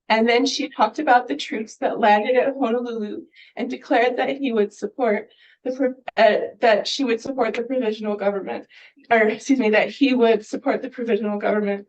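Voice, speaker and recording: A woman's voice: fairly high pitch, darkish tone, poor audio. A woman from Hawaii giving an informal presentation as host at a public event. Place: indoor event hall, speaking at a podium.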